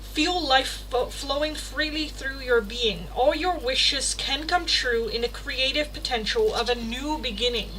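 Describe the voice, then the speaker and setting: Young woman, dutch accent, bright clear voice, fairly high, chatty tarot livestream, faint background hiss. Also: unhurried, hardly any pauses, rough recording. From Netherlands. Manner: chatty, laughs often, swears casually, reads card text aloud. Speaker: tarot reader hosting a livestream. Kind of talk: casual livestream chat and card reading.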